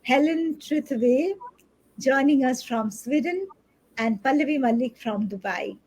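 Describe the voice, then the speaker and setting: A woman's voice: high-pitched, animated, measured pace, a little mellow, husky, rough recording. A woman from India hosting an interview show, polite and formal, reading prepared questions. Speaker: host.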